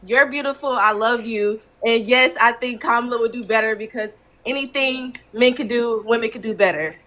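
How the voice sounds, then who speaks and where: Bright, high-voiced woman in her twenties. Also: moderate pace. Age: 20s. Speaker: caller on a live debate stream. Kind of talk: casual, emphatic chat.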